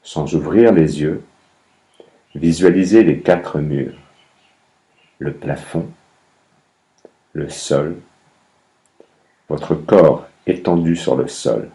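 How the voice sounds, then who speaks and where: A man guiding a meditation as a yoga nidra instructor, speaking calmly in measured instructions with long pauses between phrases. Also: rumbling low, low-quality recording. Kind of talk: guided meditation, slow instructions.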